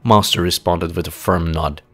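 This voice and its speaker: Casting: male narrator, very low voice, dramatic fantasy audio drama delivery over clear music. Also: steady tone, normal speed, bright, forceful tone. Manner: dramatic storytelling.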